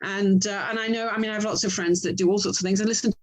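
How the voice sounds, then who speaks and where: A woman's voice: mid-range voice, fairly even intonation, fast. A middle-aged woman with an English accent talking casually as an interview guest, thoughtful and chatty. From England. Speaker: writer and audio drama producer, interview guest.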